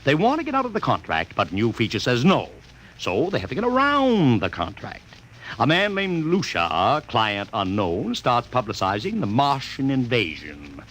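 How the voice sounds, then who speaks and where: A brash American publicity schemer from mid-century radio drama. His patter is slangy and breezy, with a very lively melody. The old broadcast recording carries some faint noise. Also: mid-range voice, moderate pace. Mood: smug, expansive.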